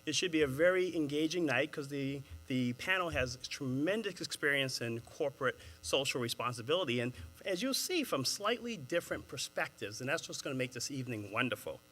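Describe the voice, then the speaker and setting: A man with a Boston accent moderating a panel, chatting with a live audience in an animated way and laughing at his own jokes. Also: medium pitch, brisk, few pauses. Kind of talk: engaging address to a live audience.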